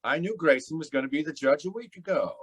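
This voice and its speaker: A man's voice: on the high side, quick pace, breathy edge. A man playing a cocky, stubborn racketeer boss in an old time radio crime drama, with brash, slangy tough-guy talk. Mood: defiant.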